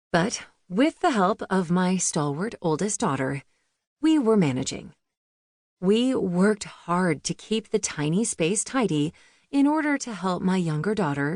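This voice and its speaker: A woman's voice: fairly low pitch, a little mellow, dry recording, clean studio sound. A woman reading a memoir audiobook as a scripted narration.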